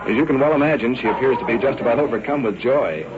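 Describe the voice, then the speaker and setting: A man's voice: medium pitch, animated, fast talker, a loud crowd. An American man reporting on the scene as host of a 1950s radio police documentary. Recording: dull, muffled 1950s radio field recording.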